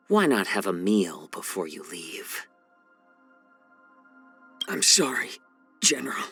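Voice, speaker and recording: Low-pitched, courteous, wry game healer. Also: male voice, pushed and bright, slightly rough, full character voice. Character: calm, wry, courteous male healer and strategist who loves spicy food. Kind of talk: scripted video game character voice lines.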